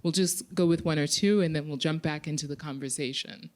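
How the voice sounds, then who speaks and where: Lower-voiced woman moderating a panel. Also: fairly quick, a little mellow. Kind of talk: conversational moderating.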